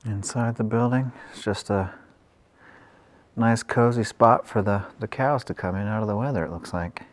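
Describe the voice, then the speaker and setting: A man's voice: fairly low pitch, moderate pace. A man casually describing an old airport site as he walks around it outdoors. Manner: relaxed, pointing things out as he walks. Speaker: travel vlogger. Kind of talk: casual exploring commentary.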